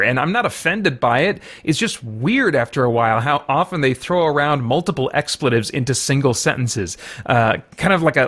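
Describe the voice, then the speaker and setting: A man with a slightly high voice delivers a conversational video game review. He thinks aloud as he goes, qualifying his opinions and correcting his wording mid-sentence. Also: a bit bright. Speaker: reviewer and host.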